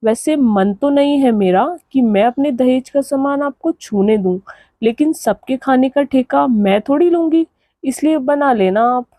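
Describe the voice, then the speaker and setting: A woman's voice: sits high, unhurried, mellow, dry recording, clean studio sound. A woman voicing a haughty, boastful young daughter-in-law in a cartoon moral story, with a taunting, condescending manner. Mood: boastful, scornful. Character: haughty young city daughter-in-law flaunting her dowry.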